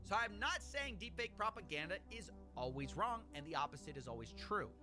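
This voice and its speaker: A man narrates a scripted video essay in a fairly high, animated voice, explaining step by step. Music plays clearly underneath, heard from a video played back on a stream. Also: a little fast.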